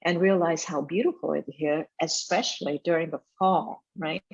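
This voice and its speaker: A middle-aged woman from Vietnam, a chef and restaurant owner, talking casually in an interview with many pauses and fillers. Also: deep voice, fairly even intonation, vocal fry, breathy edge, dull audio.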